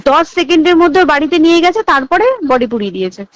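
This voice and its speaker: A woman's voice: sits high, animated, very fast, no echo. A woman with a West Bengal accent speaking casually and emphatically as a hospital staffer on a recorded phone call, often checking that her listener follows. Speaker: hospital staff member recounting events.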